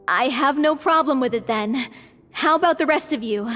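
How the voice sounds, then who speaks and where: An actress voicing an earnest young stage actress in a theatre troupe, polite and sincere. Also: female voice, high-pitched, sharp tone, long reverb tail, full character voice. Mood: encouraging. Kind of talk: video game cutscene voice acting.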